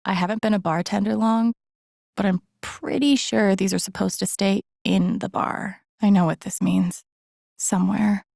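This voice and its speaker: A woman's voice: medium pitch, fairly even intonation, normal speed, creaky, dark tone, dry recording, studio quality. A woman voicing an introspective, wry and empathetic young woman in a video game, casually muttering her thoughts to herself in a naturalistic way. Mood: wry, musing. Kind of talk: naturalistic game character inner monologue.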